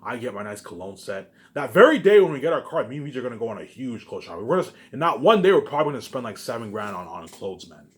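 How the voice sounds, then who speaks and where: A man's voice: medium pitch, sing-song, fast talker, hardly any pauses. A man talking in a casual, rambling monologue, circling back over the same phrases with repeated filler tags and emphatic bursts that trail off. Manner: repetitive, restarts phrases, frequent filler catchphrases.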